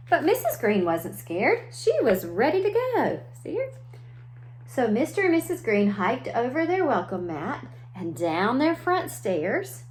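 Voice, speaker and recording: A woman's voice: medium pitch, slight background noise. A woman preschool teacher reading a story aloud to young children, adding simple explanations and questions. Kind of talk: children's read-aloud with asides.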